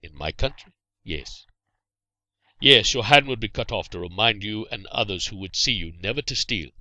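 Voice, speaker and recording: A man voicing a stern but merciful Saracen warrior in a storybook, speaking in a measured, formal, commanding way. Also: fairly low pitch, lively intonation, bright, forceful tone, no room sound, dull audio. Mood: grave, kindly.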